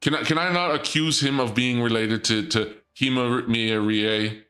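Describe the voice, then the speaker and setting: A young man streaming and chatting casually, rambling and joking in a theatrical dark-lord persona. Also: medium pitch, steady tone, moderate pace.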